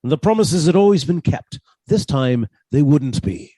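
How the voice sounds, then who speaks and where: A man's voice: a little high, lively intonation, normal speed, mellow, dry recording. A man playing a henpecked husband turned murderer in a radio suspense drama, fussy, precise and politely menacing. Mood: coolly confiding.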